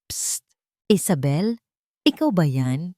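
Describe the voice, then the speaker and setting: A woman voicing a kind, widowed older woman who sells vegetables, speaking in a soft, motherly way. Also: sits low, very animated, slow, no room sound, studio quality, full character voice. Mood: gentle.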